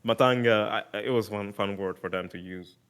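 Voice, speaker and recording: A man whose first language is Italian, chatting casually as a podcast host, excitable, laughing often and trailing off mid-sentence. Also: on the low side, brisk, smooth. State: sleep deprived. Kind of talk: casual chat.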